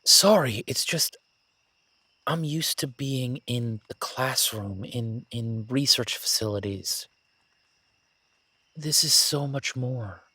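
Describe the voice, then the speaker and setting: Man playing an excitable, nerdy young academic explorer, dry sound. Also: mid-range voice, slow pace, long pauses, breathy edge, no echo, full character voice. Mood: sheepish. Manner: gushing, stammering, apologetic. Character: excitable, nerdy young male academic on his first jungle expedition. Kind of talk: immersive roleplay audio drama, one-sided conversation.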